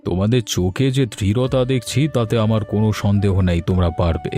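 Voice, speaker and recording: Cartoon village headman played by a man: fatherly, encouraging, lowish mellow voice, measured blessings, music underneath. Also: close to an everyday voice. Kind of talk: cartoon moral story voice acting.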